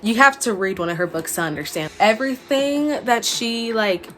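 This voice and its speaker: A woman's voice: on the high side, moderate pace, bright, forceful tone. A woman in her twenties chatting informally and emphatically to camera like a book vlogger. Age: 20s. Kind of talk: casual chat to camera. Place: small furnished room at home, indoors. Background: background music.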